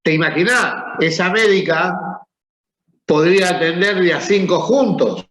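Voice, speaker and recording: A man's voice: sits high, unhurried, fairly bright tone. Rioplatense man lecturing a class. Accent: Rioplatense Spanish. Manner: conversational, asks the class questions. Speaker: teacher. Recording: echoey room, dull sound.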